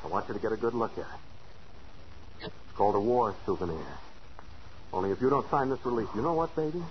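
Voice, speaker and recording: A man's voice: mid-range voice, brisk, lo-fi sound, close to an everyday voice. Man acting a menacing husband, noisy old radio. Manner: taunting, commanding. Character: menacing, taunting husband with a cruel streak. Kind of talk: 1940s radio thriller acting.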